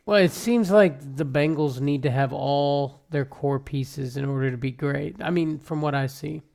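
A man in his thirties, a guest on a sports podcast, chatting in a relaxed way and laughing easily. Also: on the high side, moderate pace, vocal fry. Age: 30s. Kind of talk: casual sports chat.

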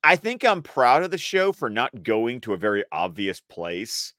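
Animated guy doing casual show commentary, pitch goes all over, emphatic, dry close sound. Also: moderate pace, dry recording. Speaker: co-host of a cartoon episode review stream.

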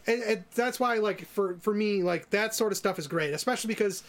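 Male podcast co-host, notably high-pitched voice, opinionated in loose, casual debate. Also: brisk.

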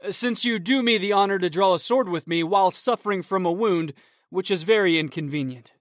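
A man's voice: high-pitched, fairly quick, no room sound, clean studio sound, close to an everyday voice. A man reading the part of a young, polite swordsman newly arrived in the city, earnest and courteous. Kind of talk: audiobook character reading.

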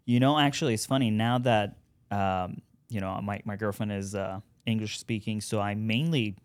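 Friendly, lowish man from Iran. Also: steady tone, normal speed, no room sound, studio quality. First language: Farsi. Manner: friendly, easygoing, laughs along. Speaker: podcast guest, real estate agent. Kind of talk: casual interview chat.

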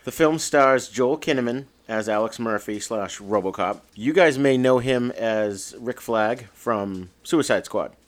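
A man's voice: mid-range voice, unhurried, few pauses. A man hosting a casual film review podcast, reading credits with joking asides. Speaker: podcast host.

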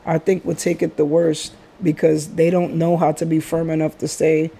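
A man's voice: a little high, monotone, moderate pace, vocal fry, dark tone, breathy edge. A middle-aged man from the Bronx hosting a podcast, talking in a reflective, rambling way and laughing often. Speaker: podcast host. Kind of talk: casual podcast chat.

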